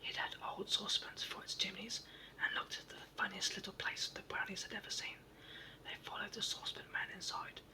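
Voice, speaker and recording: A reader narrating a children's storybook entirely in a soft, steady whisper. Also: mid-range voice, a little fast, recorded from a distance, low-quality recording.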